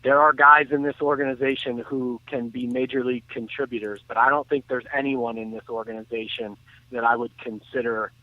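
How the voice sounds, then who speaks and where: A man calling in by phone to give casual sports analysis, phrasing his points in a measured, halting way. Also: medium pitch, fairly even intonation, no room sound. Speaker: sports talk commentator. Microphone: phone call. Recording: thin, tinny phone line.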